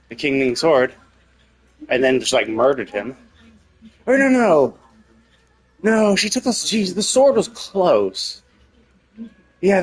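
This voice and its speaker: A man's voice: medium pitch, low-quality recording. A man casually commentating on a game as a live streamer, rambling and thinking aloud, bluntly and with some swearing. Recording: game music behind the voice. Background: game music.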